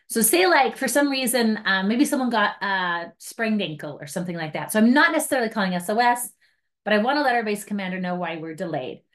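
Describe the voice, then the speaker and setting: A woman with a mid-range voice gives an informal training walkthrough. She explains each step in a casual, chatty manner, like a trainer talking to her guides, and drops in light self-mocking asides. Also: medium pitch. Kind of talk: informal hands-on device tutorial.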